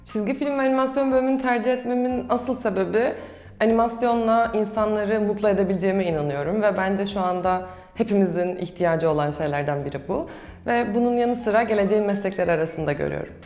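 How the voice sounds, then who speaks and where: A woman's voice: mid-range voice, fast talker, darkish tone. A young adult woman, a student answering questions in a promotional interview. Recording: roomy echo with music behind. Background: background music.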